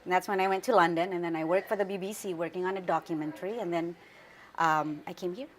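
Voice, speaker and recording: A woman's voice: lowish voice, fairly even intonation, fast, slight background noise. A woman in her forties from Central Java, whose first language is Javanese, speaking as an interview guest in a thoughtful way with fillers and restarts. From Central Java, Indonesia. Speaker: interview guest, news anchor. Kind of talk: conversational interview.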